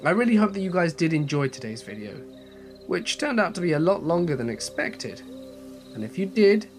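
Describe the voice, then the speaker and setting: A man's voice: on the high side, big pitch swings, normal speed, soft background music. A man with a slight head cold, hosting and narrating a horror story channel in a scripted storytelling style.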